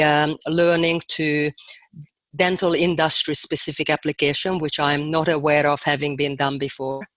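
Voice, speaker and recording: A middle-aged woman with a Hungarian accent, answering interview questions in an earnest, measured way with hesitant fillers. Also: deep voice, a bit bright, no echo. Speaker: guest dentist and posture teacher.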